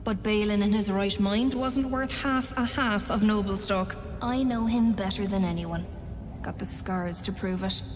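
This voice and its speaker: A blunt, scornful old woman , played by an actress, grumbles in a mid-pitched game-character voice. She is sardonic and shrewd, heard in long reverb over music. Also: unhurried, natural voice. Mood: bitter, sardonic. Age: older. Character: bitter, sardonic old wife, shrewd trader. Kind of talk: video game voice acting.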